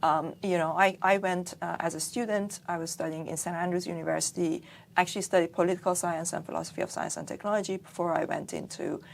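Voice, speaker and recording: A woman podcast host in her thirties or forties, from Iran. Her voice is a little lower than most women's. She interviews in a conversational style. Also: fairly even intonation, fast talker, vocal fry, slight background noise.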